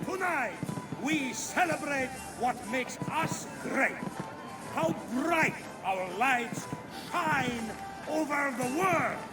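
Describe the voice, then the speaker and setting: Over loud music, a grandiose Venetian ruler with a very high voice and an Italian accent. He declaims slowly and pompously, as a game character addressing his people. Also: expressive, exaggerated voice. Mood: exultant, proclaiming. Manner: declamatory, pompous public oratory. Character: grandiose Venetian Doge addressing carnival crowds. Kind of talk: video game voice acting.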